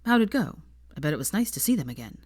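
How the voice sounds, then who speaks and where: A woman voicing a mild, kindly, slightly awkward young man in an audiobook story, gentle and measured. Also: low voice, big pitch swings, no room sound, studio quality. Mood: curious, mild. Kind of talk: fan fiction audiobook character voice.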